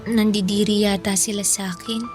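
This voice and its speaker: A woman's voice: mid-range voice, little pitch movement, moderate pace, a little mellow, lightly acted. An actress playing a lonely, wary fourteen-year-old homeless orphan girl with a Filipino accent, polite and meek, in a radio drama. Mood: resigned. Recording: music bed under the voice.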